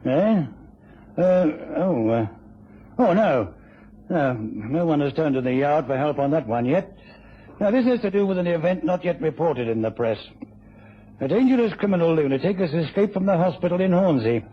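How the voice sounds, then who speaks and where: Earnest english copper in a radio drama, bit raspy, plain-spoken, dull old broadcast sound. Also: male voice, a little high, sing-song, normal speed. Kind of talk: British radio drama acting.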